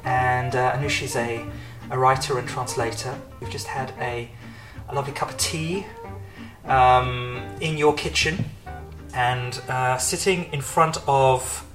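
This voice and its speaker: A man's voice: mid-range voice, steady tone, a little slow, a bit bright, soft background music. A man hosting a podcast, chatting casually with a guest in a home kitchen and talking with many fillers and restarts. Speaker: podcast host. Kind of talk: casual conversational interview.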